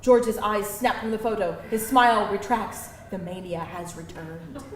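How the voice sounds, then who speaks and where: A woman narrating a screenplay at a live table read, reading the stage directions in an expressive, theatrical way. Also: medium pitch, measured pace. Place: live event room with an audience. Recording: echoey live room, distant mic, music, audience. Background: music and audience noise.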